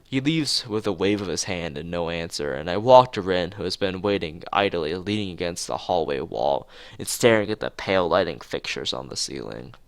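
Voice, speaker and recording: A man's voice: deep voice, animated, moderate pace, creaky, hardly any pauses. A man streaming a visual novel, reading the story aloud expressively with occasional asides.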